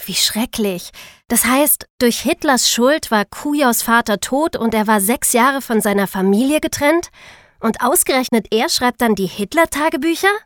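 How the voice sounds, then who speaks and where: A woman's voice: on the high side, lively intonation, moderate pace, dry recording, studio quality. A woman co-hosting a podcast, moving between scripted narration and light banter. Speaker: podcast co-host.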